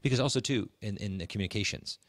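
A man's voice: fairly low pitch, quick pace, dry recording. A man co-hosting a podcast, giving conversational advice, emphatic and often repeating and rephrasing his points. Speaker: podcast host. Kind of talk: conversational advice talk with a co-host.

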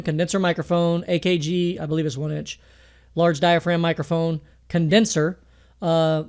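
High-voiced man explaining home studio mixing. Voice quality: thin voice. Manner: casual, rambling, with many fillers and restarts. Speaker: music producer teaching mixing. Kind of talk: screen-recorded tutorial talk.

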